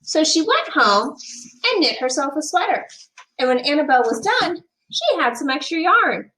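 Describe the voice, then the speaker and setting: A woman reading a picture book aloud as a teacher would, expressive and emphatic for young children. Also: high-pitched, normal speed, low-quality recording.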